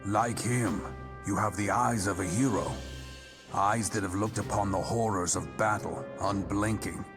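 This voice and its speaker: An actor playing a gruff, proud veteran general in a fantasy game, blunt and commanding, with archaic phrasing. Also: male voice, on the low side. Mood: solemn. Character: gruff, proud veteran warrior general, middle-aged man. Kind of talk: fantasy video game voice acting.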